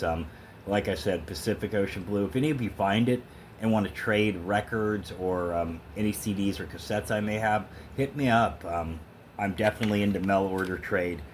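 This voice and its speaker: A man's voice: low-pitched, a little hiss or hum. A man talking casually and emphatically to the camera as an opinionated music fan, in a rambling vlog style. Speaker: vlogger showing a thrift store music haul.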